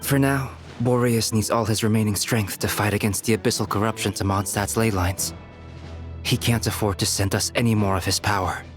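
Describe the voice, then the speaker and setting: A composed knight leader from a fantasy game, acted by a man, with a fairly low voice. He lays things out seriously and evenly in measured phrases, with prominent music behind him. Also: fairly even intonation, lightly acted. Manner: measured, explanatory. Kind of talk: video game dialogue acting.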